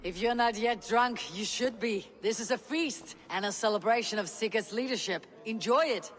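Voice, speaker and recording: A woman voicing a confident Viking clan leader who speaks in a measured, declamatory way. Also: on the high side, close to an everyday voice. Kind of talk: video game cutscene acting. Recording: reverberant mix with music.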